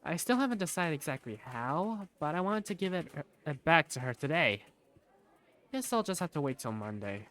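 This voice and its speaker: A man, an amateur voice actor, narrating a visual novel fandub in the first person in an animated way. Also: fairly high pitch, normal speed.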